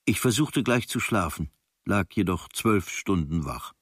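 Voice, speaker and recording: An actor reading as an observant writer recounting travels from a diary, in clipped, dryly observant phrases with a husky voice. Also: male voice, sits low, expressive, measured pace, a bit bright, full character voice.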